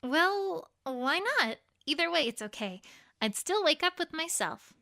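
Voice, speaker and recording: A woman playing a bashful, bookish young pony student in an audio drama, speaking earnestly and haltingly. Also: very high voice, sing-song, vocal fry, sharp tone, exaggerated voice. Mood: flustered, bashful. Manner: halting, earnest speech with stammers. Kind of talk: audio drama fan fiction reading.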